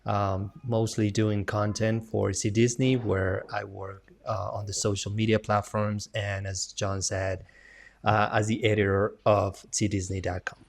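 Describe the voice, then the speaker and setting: Slow-talking Colombian man. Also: lowish voice, creaky. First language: Spanish. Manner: relaxed, hesitant, with fillers. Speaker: travel agent and website editor. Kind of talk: casual panel chat.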